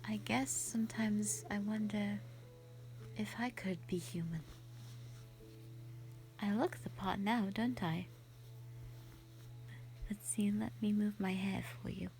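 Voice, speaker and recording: Woman playing a slow-spoken, gentle shy dragon lady. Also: mid-range voice, steady tone, faint music behind. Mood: soft, wistful. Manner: soft, breathy, hesitant, sweet and earnest. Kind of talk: roleplay audio drama, intimate one-sided dialogue.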